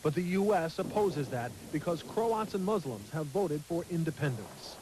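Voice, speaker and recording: A male television reporter delivering a formal, measured news package. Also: a little high, lively intonation, darkish tone, slight background noise, poor audio.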